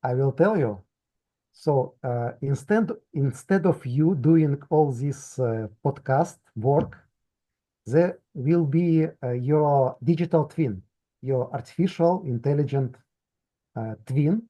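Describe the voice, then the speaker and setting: A man speaking as a tech founder guest in an interview, halting with simple phrasing and pauses. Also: mid-range voice, mellow. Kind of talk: interview answers.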